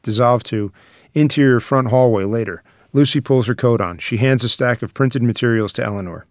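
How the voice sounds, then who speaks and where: A man narrates a screenplay's stage directions. His voice is medium-pitched and recorded very dry, with the scripted delivery of someone reading scene descriptions. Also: a little fast, dry recording.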